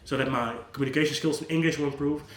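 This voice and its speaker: A man whose first language is Dutch, a psychologist who hosts his own channel. He talks to camera without a script, earnest and gentle, and restarts his sentences often. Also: fairly high pitch, fairly even intonation, rapid-fire, echoey room. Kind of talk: unscripted talk to camera.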